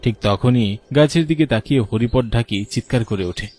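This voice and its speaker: A man's voice: mid-range voice, moderate pace, a little mellow. A man narrating a scripted horror story as its storyteller.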